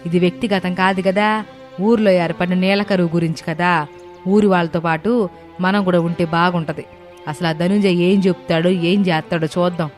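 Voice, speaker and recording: Woman playing a calm, persuasive older mother-in-law. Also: on the low side, natural voice.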